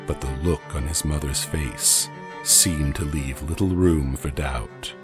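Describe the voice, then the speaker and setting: A man's voice: very deep voice, music in the background. A man voicing a solemn angel who recounts an ancient tragedy in a grave, theatrical storytelling manner for an audio comic. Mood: sorrowful, solemn. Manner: grave, portentous, theatrical, dramatic pauses. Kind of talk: dramatic scripted storytelling, audio comic book.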